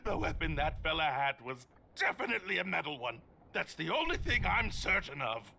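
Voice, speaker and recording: An actor playing a nervous young patrol guard who stammers and gets frightened easily. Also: male voice, on the high side, very animated, moderate pace, creaky, pushed and bright, full character voice. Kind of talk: game voice acting.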